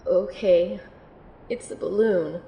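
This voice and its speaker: A woman's voice: takes it slowly, dark tone. A woman playing a hesitant, reluctant young student of magic in an audio drama, speaking in a plain way. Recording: roomy amateur recording, faint rumbling noise.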